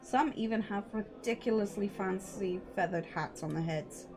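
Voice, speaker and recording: A woman's voice: mid-range voice, normal speed, a little mellow. A woman livestreamer reading a visual novel aloud and chatting casually, with playful, sweary asides. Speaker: livestreamer, VTuber. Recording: music behind the voice.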